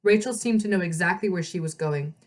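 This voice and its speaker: A woman's voice: fairly low pitch, fairly quick. Woman reading a story aloud. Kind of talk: expressive audiobook-style story reading.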